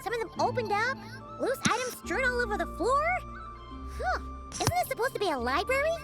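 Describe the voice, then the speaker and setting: A very high, squeaky little fairy girl chatters with swooping, excitable cheer. It is video game cutscene acting for a childlike companion, with loud music underneath. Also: fairly quick. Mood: curious. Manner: squeaky, excitable, refers to herself in third person.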